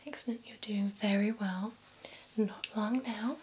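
Whispering, unhurried vampire beautician played by a woman. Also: mid-range voice, steady tone, lightly acted. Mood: soothing, professional. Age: young adult. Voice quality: breathy, whispered soft speech. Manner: slow, soothing, formal, attentive. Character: poised, courteous female vampire spa beautician. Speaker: ASMR performer. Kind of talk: whispered ASMR roleplay. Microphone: close binaural microphone. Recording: close ASMR microphone with background hiss.

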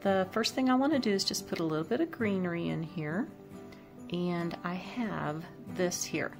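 A woman's voice: fairly low pitch, moderate pace, gravelly, music in the background. A woman chatting casually as she decorates her home kitchen, thinking aloud about each piece. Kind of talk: casual voice-over demo.

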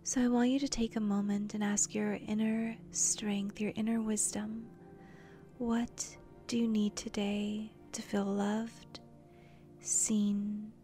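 A woman leading a guided meditation in a soft, breathy, near-whispered voice, gentle and soothing, with long pauses between short phrases. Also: medium pitch. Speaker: meditation guide, channel host.

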